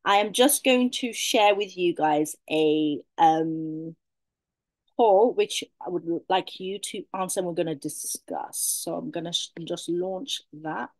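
A woman's voice: fairly low pitch, unhurried, no room sound. A young British woman co-hosting an online webinar on a video call, eagerly reacting to viewers' messages. She is bubbly and casual. Her voice is dry and close to the mic. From United Kingdom. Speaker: webinar co-host running a poll.

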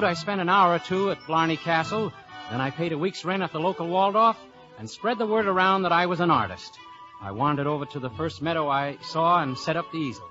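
An actor playing a breezy, wisecracking American detective in an old-time radio drama. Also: male voice, fairly high pitch, pushed and bright. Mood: wry narration. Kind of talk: 1940s-50s radio detective acting and narration. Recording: dull old radio recording, music behind.

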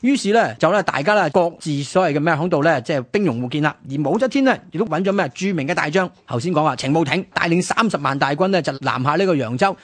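A man's voice: on the high side, expressive, fast, hardly any pauses, a bit bright, dry recording. A man with a Hong Kong Cantonese accent telling history conversationally as a radio host, often posing rhetorical questions.

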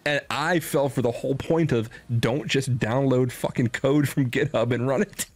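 A man in his thirties hosting a casual streamed chat, excitable, swearing freely and laughing often. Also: medium pitch, moderate pace, no echo. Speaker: podcast host.

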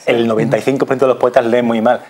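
Mid-pitched man from Spain, explaining. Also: little pitch movement, very fast, a little mellow. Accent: Spanish from Spain. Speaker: interview guest, radio theatre performer. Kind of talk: conversational explaining. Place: small TV studio. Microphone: lapel mic.

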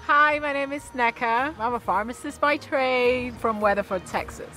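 A woman's voice: high-pitched, moderate pace, over loud music. A woman in her forties from Nigeria, a reality show contestant, introducing herself cheerfully in a video clip. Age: 40s.

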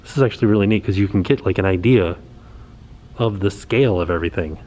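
A man in his forties excitedly pointing things out as he walks through a small indoor set, filmed on a handheld camera at arm's length. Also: low-pitched, fast talker. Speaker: vlogger. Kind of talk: casual walkthrough vlog. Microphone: camera held at arm's length.

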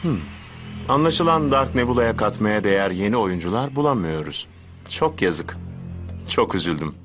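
A scheming cartoon villain, speaks in a low, smooth voice. He is measured, formal and condescending, with music clearly playing behind him. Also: animated, a bit bright. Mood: cool, calculating. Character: scheming adult male villain, smooth and calculating. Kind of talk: Turkish-dubbed anime acting.

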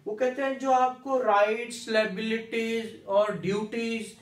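A man's voice: extremely high pitch, level tone, a little slow. Pakistani guy teaching a law class, keeps quizzing and repeating, drops english terms, roomy echo. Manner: didactic, repeats key terms, checks understanding often; mixes in English legal terms. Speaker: law lecturer. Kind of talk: classroom lecture with questions to students. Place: small indoor teaching room with whiteboard. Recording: echoey room, mic at a distance.

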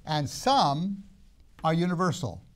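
An older man who grew up in Tanzania, giving a measured classroom lecture with emphatic pauses, recorded on a lapel mic in a studio classroom. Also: fairly high pitch, sing-song, slight background noise. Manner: measured, emphatic pauses, gestures while talking.

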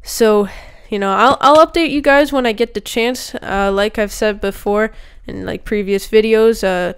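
A young woman talks over her gameplay with a slight roughness from a cold, rambling through fillers. Her middle-pitched voice is smooth and clear, close on a desk mic. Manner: chatty, rambling with many fillers. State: sick with a cold, voice a bit rough. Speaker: gaming youtuber. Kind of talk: casual gameplay commentary.

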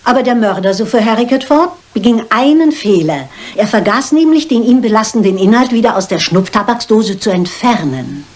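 An actress playing a sharp-witted, stubborn older lady detective in a German crime radio play, speaking briskly and precisely. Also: fairly high pitch, lively intonation, close to an everyday voice. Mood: intrigued, deliberate.

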